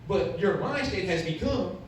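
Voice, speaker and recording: High, animated man in an echoey room. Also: a little slow. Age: late 30s. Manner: blunt, emphatic, asks rhetorical questions. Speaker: motivational speaker to youth. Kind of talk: candid personal talk. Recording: very echoey room, far from mic, faint noise.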